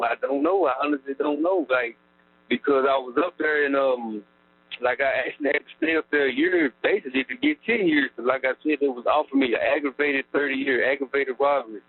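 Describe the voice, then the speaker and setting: A man's voice: mid-range voice, moderate pace, slight background noise. Man on a tinny phone line. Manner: rambling, lots of fillers, laughs wryly at times. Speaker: incarcerated interviewee. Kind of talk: casual storytelling about his past. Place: prison phone call.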